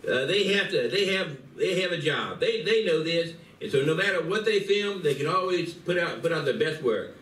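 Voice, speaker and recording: A man's voice: fairly high pitch, expressive, moderate pace, fairly bright tone, slight background noise. A man playing a vain, image-obsessed animal celebrity in a radio play, bickering and sometimes flustered. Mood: smug, dismissive. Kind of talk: live convention radio play reading. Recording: distant, echoey convention room.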